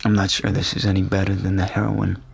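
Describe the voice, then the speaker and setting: A man's voice: deep voice, monotone, quick pace, vocal fry, husky, dry recording, exaggerated voice. An actor playing a concerned male friend in naturalistic audio fiction, dry and blunt, with a needling, teasing edge. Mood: dryly coaxing.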